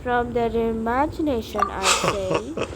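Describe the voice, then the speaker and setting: A young woman giving casual, slangy, skeptical gameplay commentary, with loud game music behind her. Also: a little high, animated, slow, very noisy. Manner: slangy, skeptical, reacts aloud. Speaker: game streamer.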